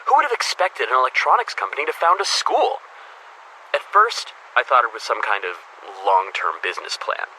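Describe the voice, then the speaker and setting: A man's voice: medium pitch, very animated, moderate pace, sharp tone, slight background noise, low-quality recording. A man playing an earnest, reflective school headmaster in a video game, confiding his thoughts in a conversational, musing audio diary. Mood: eager, amazed. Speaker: headmaster character.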